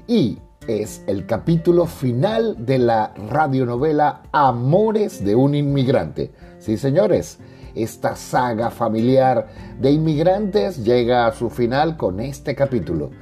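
A man's voice: medium pitch, warm tone. A man hosting a podcast, giving a scripted introduction to the show. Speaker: podcast host. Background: music with guitar.

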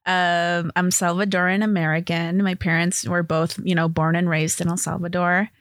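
A woman's voice: lowish voice, little pitch movement, moderate pace, dry recording, clean studio sound. A woman in her thirties from Los Angeles, a comedy TV writer chatting casually as a guest, laughing often and filling her talk with "like". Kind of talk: casual interview chat.

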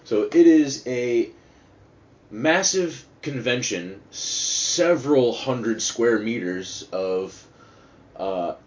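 A man's voice: mid-range voice, expressive, extremely slow. A man hosting a casual, rambling solo podcast, talking in a digressive, sweary and self-mocking way. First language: not Dutch, speaks English fluently. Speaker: podcast host. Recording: dull lo-fi sound with faint noise.

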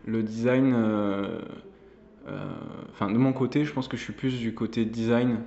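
A man hosting a podcast, asking questions in a relaxed, conversational way with some fillers. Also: mid-range voice, moderate pace, creaky, echoey room, muffled recording. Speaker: podcast host. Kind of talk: podcast interview.

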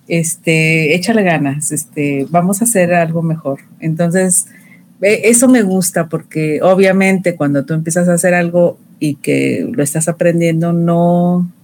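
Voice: mid-range voice, unhurried, darkish tone, slight background noise. A guest from Mexico City with a Mexico City accent, chatting casually about a film and often using fillers. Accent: Mexico City Spanish. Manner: chatty, uses fillers like este. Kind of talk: casual film review chat.

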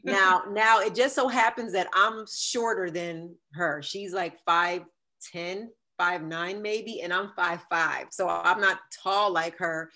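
Deep-voiced woman telling her story. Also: slow. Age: 50s. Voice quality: deep, sultry voice. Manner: animated, acts out quoted dialogue. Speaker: podcast guest. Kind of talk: storytelling in a conversational interview.

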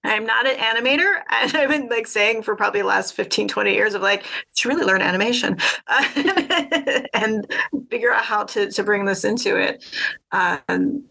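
Woman guest, casual and meandering, bright with a gravelly edge. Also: mid-range voice, moderate pace. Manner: thoughtful, meandering, many fillers. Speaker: podcast guest, artist and author. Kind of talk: casual conversation.

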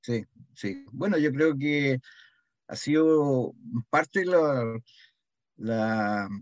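A man's voice: mid-range voice, very slow, lo-fi sound. An older man with a Chilean accent, reminiscing conversationally as an interview guest and explaining things through examples, often checking that he is followed. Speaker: interview guest, local heritage association member.

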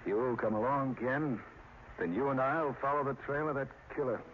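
A stubborn small-town sheriff as a male actor plays him in an old radio drama. He is grim and plainspoken, with a folksy turn and a middling pitch. The recording is dull and thick with noise. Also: moderate pace, close to an everyday voice. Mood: grim, determined.

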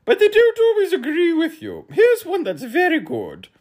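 A very high, eager storybook humbug. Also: male voice, wildly swooping pitch, moderate pace, darkish tone, full character voice. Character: chatty, eager humbug offering food.

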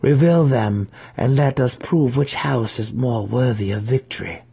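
A man reading a story aloud, voicing a proud dark elf matron who pleads with a raised, forceful voice. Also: medium pitch, measured pace, creaky, slightly rough, pitched above the performer's own voice, full character voice. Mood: pleading, forceful.

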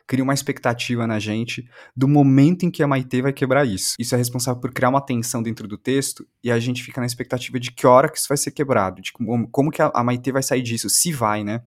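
A man's voice: mid-range voice, fairly even intonation, fast, hardly any pauses. A Brazilian man interviewing in a thoughtful conversation, tentatively searching for his words and repeating himself.

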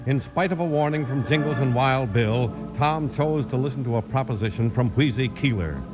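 A man narrating and pitching the sponsor as a vintage radio announcer, with a folksy, polished delivery. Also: normal speed, dull audio, music in the background.

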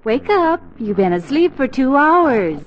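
A woman playing a patient, gentle middle-aged wife in 1940s radio comedy. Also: sits high, sing-song, measured pace. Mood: soothing. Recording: dull, faintly noisy 1940s radio recording.

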